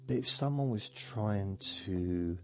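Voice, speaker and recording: A man hosting a sleep podcast, talking slowly in a meandering, deadpan, joking way with faint music behind. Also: fairly low pitch. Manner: slow, meandering, deadpan humor. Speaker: podcast host. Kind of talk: rambling sleepy monologue.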